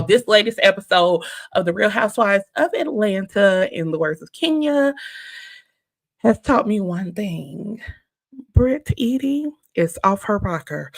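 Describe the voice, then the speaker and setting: A woman's voice: medium pitch, sharp tone. A woman in her thirties giving casual, emphatic commentary straight to her viewers, repeating her points. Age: 30s. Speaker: reality TV reviewer.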